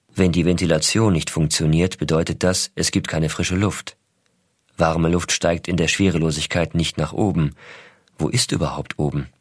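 Deep-voiced astronaut father. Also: dry recording, studio quality. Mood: calm, hushed. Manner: measured, intimate, explaining simply to a child. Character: astronaut father writing tender letters to his young son. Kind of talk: scripted narration, letters read aloud.

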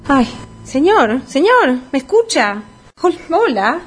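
An actress playing a brazen swindler who poses as an indignant pianist, in radio comedy with a Rioplatense Argentine accent and theatrical, emphatic delivery. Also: female voice, high voice, very animated, takes it slowly, over music, full character voice. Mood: mock horror. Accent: Rioplatense Argentine Spanish.

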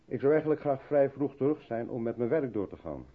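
Radio drama, male role: brusque, hesitant loner absorbed in work, husky tone, lively pitch movement. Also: fairly quick, phone-quality sound. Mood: defensive, brusque. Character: brusque, reluctant mixed-race South African man, absorbed in work. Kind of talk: 1970s Dutch radio drama acting.

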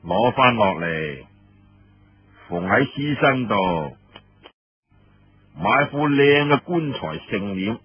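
A man voicing an imperious, sly senior army commander in a Cantonese audiobook story, questioning his listeners in a commanding, drawn-out way. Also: lowish voice, expressive, slightly rough, exaggerated voice. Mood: stern command. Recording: muffled lo-fi roomy radio-like recording.

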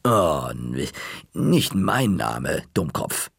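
A man voicing a huge, grim old woman librarian, speaking slowly and curtly in a grating voice. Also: rumbling low, sing-song, creaky, a bit bright, husky, no echo, clean studio sound, voice pushed down, exaggerated voice. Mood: gruff. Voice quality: grating, rusty voice. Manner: slow, grumbling, curt.

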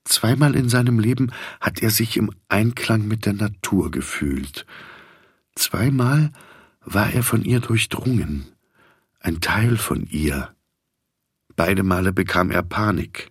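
An actor playing a sober, detached storyteller, narrating a literary radio drama in a measured manner. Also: male voice, on the low side, husky.

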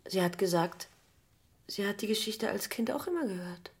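An actress playing an inquisitive, persistent young granddaughter in a radio drama, eager and probing. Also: female voice, medium pitch, expressive, moderate pace, close to an everyday voice. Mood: curious, insistent.

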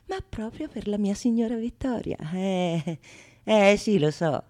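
An actress plays a sly go-between in a bawdy Renaissance stage comedy. She is a woman who schemes out loud, teasing and conspiratorial, with florid archaic theatrical phrasing. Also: mid-range voice, lively intonation, measured pace, close to an everyday voice. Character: sly bawdy scheming go-between, plotting pranks on a foolish lover. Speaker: stage actress performing all parts.

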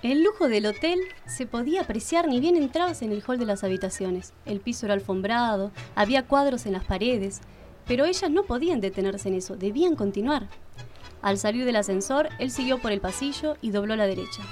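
A woman's voice: on the high side, expressive, fairly quick, darkish tone, soft background music. A woman with an Argentine accent reading scripted narration for a radio play.

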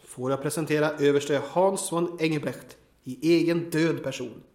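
Echoey, fairly high man acting a wry subordinate. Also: fairly even intonation, darkish tone, natural voice. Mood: wry, reporting. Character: dry, dutiful male subordinate briefing on a killing. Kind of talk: audio drama acting. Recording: echoing room.

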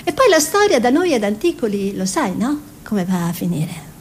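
An actress playing a young peasant woman painter, dreamy and stubborn, in an expressive theatrical delivery. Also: medium pitch, moderate pace, a little hiss or hum, lightly acted. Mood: animated. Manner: expressive, musing, emphatic repetitions. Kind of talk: theatrical monologue and dialogue.

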